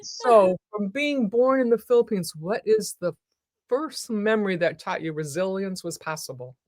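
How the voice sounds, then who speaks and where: A woman's voice: medium pitch, lively intonation, normal speed, darkish tone, no room sound, studio quality. A woman hosting a live interview before an audience, with an effusive, exclaiming manner. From military family, lived partly in Germany as a child. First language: German. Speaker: talk show host.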